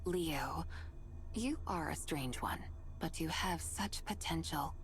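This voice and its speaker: A woman voicing a calm, formal and solemn otherworldly figure in a video game. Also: low-pitched, slow pace. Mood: composed. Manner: formal, composed. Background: game music.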